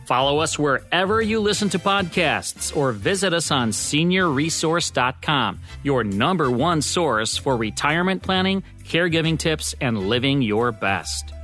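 A man's voice: a little high, lively intonation, unhurried, hardly any pauses, a bit bright. American man hosting over music. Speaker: radio show host and producer. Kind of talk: scripted podcast hosting.

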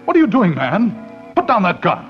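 An actor playing an excitable, uneasy man telling his own horror tale, dramatic and intense, in 1940s radio style. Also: high-pitched, faint music behind. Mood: alarmed. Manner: dramatic, intense, with suspenseful pauses. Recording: dull, slightly noisy 1940s radio recording.

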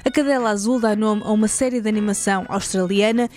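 A woman's voice: medium pitch, fairly even intonation, fast, darkish tone. A woman with a European Portuguese accent hosting a podcast, reading a scripted documentary narration. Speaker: podcast host.

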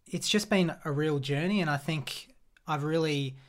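A man, a podcast guest, talking in a reflective, measured way with a playful edge. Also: a little high. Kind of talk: casual podcast chat.

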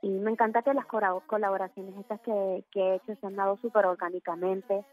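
Puerto Rican singer chatting by phone. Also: female voice, mid-range voice, level tone, brisk, phone-quality sound. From Puerto Rico. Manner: chatty, many fillers. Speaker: singer guest. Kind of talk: phone interview. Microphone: phone line.

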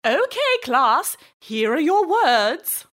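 Woman acting high, bright, expressive children's story character. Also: takes it slowly, full character voice. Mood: encouraging. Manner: expressive, encouraging. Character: cheerful, expressive young girl and encouraging schoolteacher.

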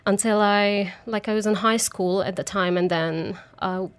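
A woman's voice: medium pitch, fairly even intonation, moderate pace, smooth. A female soprano singer from Egypt, a guest on a radio show, talking about her background in a friendly, slightly shy way. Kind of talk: casual interview.

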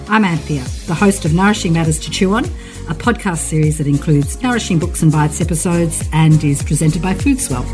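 A woman hosting a podcast, reading an earnest scripted introduction and then interviewing a guest. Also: deep voice. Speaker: podcast host.

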